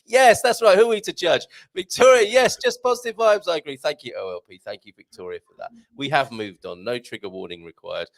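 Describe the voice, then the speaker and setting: A man's voice: on the high side, brisk, creaky, hardly any pauses. A man hosting a live chat show, joking around, laughing often and reading out viewer comments. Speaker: host.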